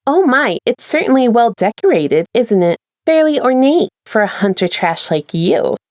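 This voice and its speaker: A woman plays a chipper airline attendant from a dark fan story. Her voice is lifted and sing-song, all sugary customer service with something sinister underneath. The sound is close and dry. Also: a little high, big pitch swings, moderate pace, no echo, pitched above the performer's own voice. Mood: smugly cheerful.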